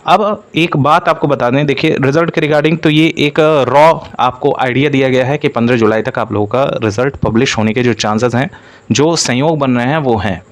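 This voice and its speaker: A man speaks as an exam-coaching presenter, explaining an update to candidates and leaning on repeated stock phrases. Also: mid-range voice.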